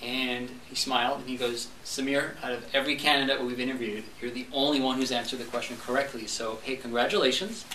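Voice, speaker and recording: A man's voice: medium pitch, steady tone, quick pace, few pauses, pushed and bright, slight background noise. Young man telling a story in an echoey room. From Montreal, Canada. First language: French. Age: young adult. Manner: storytelling, acting out dialogue. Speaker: club speaker. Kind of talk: humorous speech. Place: club meeting room. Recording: echoey room, distant mic.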